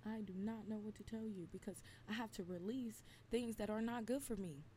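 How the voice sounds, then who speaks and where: A woman in her twenties, slightly raspy, venting bluntly and emphatically in a casual solo talk. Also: medium pitch, brisk, warm tone, a little hiss or hum. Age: 20s. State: slightly raspy from lack of sleep. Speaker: podcast host.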